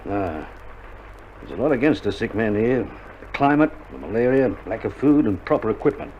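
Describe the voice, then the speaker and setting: An actor playing a weary, dry-humoured Australian army doctor in a wartime radio drama, speaking in a colloquial, matter-of-fact way. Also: male voice, lowish voice, lively intonation, moderate pace, natural voice. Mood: grim. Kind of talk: recorded radio drama acting. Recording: dull vintage vinyl recording, loud noise.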